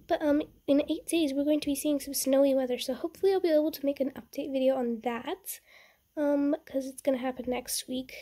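Young woman, high and mellow, slightly stuffy nose, rambling casual gamer chatter. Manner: chatty, rambling, easily excited. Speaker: gaming channel host. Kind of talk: casual game commentary.

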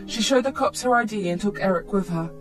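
A woman's voice: mid-range voice, lively intonation, quick pace, over music. A woman reading a scripted first-person dramatic story as a storytime narrator.

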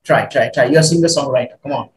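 A man's voice: medium pitch, quick pace, reverberant, a little hiss or hum. A young man hosting a podcast on a video call, asking questions casually and encouraging his guest. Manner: encouraging, brief affirmations. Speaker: podcast host.

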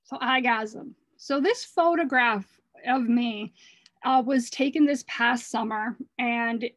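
A hoarse woman talking casually about her art over slides, earnest and rambling, with frequent restarts. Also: fairly high pitch, slow, fairly bright tone, dry recording. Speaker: artist presenting her work.

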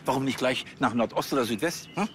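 An actor playing a self-important, jovial and blustering police chief in a TV crime comedy, with a pompous manner. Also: male voice, medium pitch, fast talker, fairly bright tone, slight background noise, lightly acted. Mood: impatient, pompous. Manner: pompous, punctuated with "ja?" and "nicht?".

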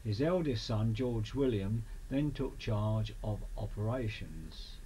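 A man with an English accent reading a scripted local history documentary in a measured manner. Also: on the low side, animated, dark tone. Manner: measured, listing names and dates. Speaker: narrator of a local history film. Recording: clearly noisy amateur voice-over recording.

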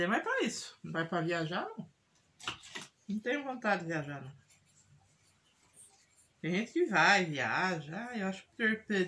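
A woman's voice: medium pitch, sharp tone. A middle-aged Brazilian woman chatting casually and ramblingly as she does chores, often checking in with the listener. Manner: rambling, repetitive, often ends with a checking tag. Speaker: home vlogger, mother. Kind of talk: casual chat while doing chores. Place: small room at home, indoors. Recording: clear household noise, handling clicks.

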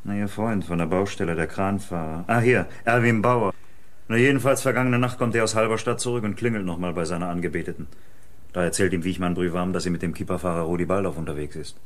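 An actor playing a brisk, matter-of-fact police investigator explaining things in a German radio crime play. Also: male voice, low voice, close to an everyday voice.

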